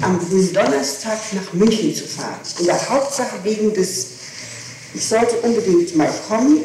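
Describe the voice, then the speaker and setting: A woman's voice: medium pitch, warm tone. A woman answering questions as a suspect in a police interrogation, giving her account with insistent precision about dates and times. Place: reverberant room, far from the microphone. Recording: echoey, distant, noisy archival tape recording.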